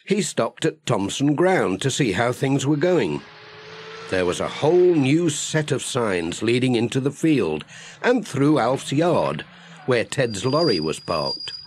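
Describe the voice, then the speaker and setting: A man narrating a children's audiobook in a gentle, clearly phrased storytelling manner. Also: mid-range voice, big pitch swings, takes it slowly, a little hiss or hum.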